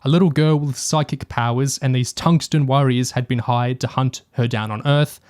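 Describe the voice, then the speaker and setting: A man's voice: medium pitch, steady tone, normal speed, darkish tone. Man in casual review discussion. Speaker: podcast co-host.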